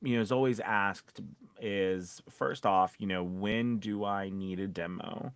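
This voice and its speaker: A man's voice: lowish voice, unhurried, clean tone, dry recording. A man who is a voice actor co-hosting a podcast, chatting casually and rambling, with playful repetition of words. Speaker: podcast co-host. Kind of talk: casual co-hosted chat.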